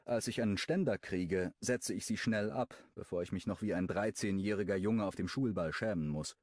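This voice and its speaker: A man narrating a first-person romance audiobook in a calm, wry tone. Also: fairly low pitch, moderate pace, no room sound, clean studio sound.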